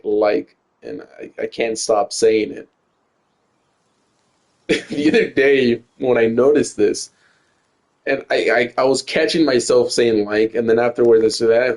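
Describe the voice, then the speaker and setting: Young guy rambling thru a game stream, stutters a bunch, swears a lot, mid pitched voice. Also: creaky, darkish tone. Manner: rambling, stutters and repeats words, swears often. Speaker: gaming streamer. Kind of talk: casual gameplay commentary.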